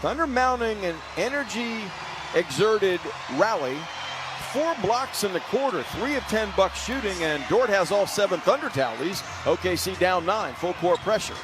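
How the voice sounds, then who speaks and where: A man's voice: sits high, lively intonation, moderate pace, music in the background. A man calling live basketball play-by-play for radio in vivid, clipped phrases. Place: sports arena broadcast.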